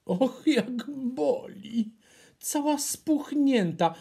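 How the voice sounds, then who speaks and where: A man's voice: very high voice, animated, drawn-out words, gravelly, mellow, husky, pitched above the performer's own voice, full character voice. A man voicing a mischievous young hare in a children's storybook, hurt and plaintive, drawing out his words. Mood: pained.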